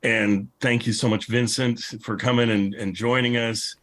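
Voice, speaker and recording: A man's voice: mid-range voice, steady tone, moderate pace, a bit bright, slight background noise. A man hosting a casual online panel, talking in a chatty, informal, rambling way. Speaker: podcast host and panel moderator. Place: online video call panel.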